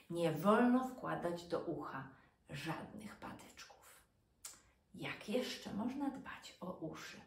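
A woman in her thirties, a doctor and storyteller, giving an educational talk to young children in an expressive way, with rhetorical questions and dramatic pauses. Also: lowish voice. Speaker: doctor and children's storyteller presenting health tips. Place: small furnished room indoors. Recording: roomy, distant mic with background noise.

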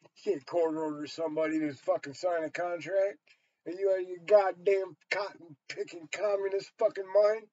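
An American man in his sixties talks in a somewhat high voice, rambling bluntly and emphatically with plenty of swearing. The sound is dry and a bit dull, like a webcam in a small room. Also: steady tone, moderate pace, dark tone, no echo. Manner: blunt, heavily profane, emphatic. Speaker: storyteller and vlogger. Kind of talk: rambling opinionated monologue to camera.

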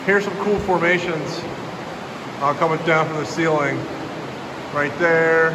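Rambling man vlogging in a noisy cave. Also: fairly high pitch. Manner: relaxed, rambling, filler-heavy commentary. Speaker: hiking vlogger. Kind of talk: casual vlog narration. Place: inside a cave. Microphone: handheld camera held by the speaker.

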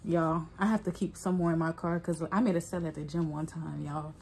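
A woman's voice: low voice, fairly even intonation, fairly quick, a little mellow, slight background noise. Chatty young woman vlogging on her phone. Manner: chatty, keeps calling out to viewers with "y'all". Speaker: wig maker and vlogger. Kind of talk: casual vlog talk to camera. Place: home wig studio, then the gym, home and a mall at night. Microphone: phone held close at arm's length.

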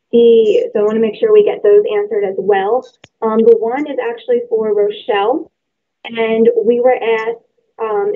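Higher-voiced woman on a thin phone-like line. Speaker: staffer relaying audience questions. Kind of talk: casual meeting moderation. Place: office by a window. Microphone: video call computer microphone. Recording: thin phone-like video call audio.

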